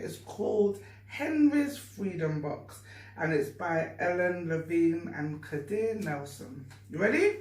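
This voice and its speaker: A woman reading a story aloud to children with deliberate, expressive phrasing. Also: sits low. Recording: echoey room, distant mic, faint noise.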